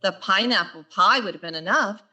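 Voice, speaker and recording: An actress playing a wry, quick-witted high school English teacher in 1940s radio sitcom style, with dry sarcastic asides. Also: female voice, sharp tone. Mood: sweetly sarcastic.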